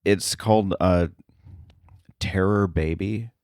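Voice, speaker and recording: A man's voice: low-pitched, steady tone, takes it slowly, darkish tone, no echo, studio quality. A man chatting casually as an interview guest on sound editing work, hesitant and often thinking aloud. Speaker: podcast guest, sound effects editor.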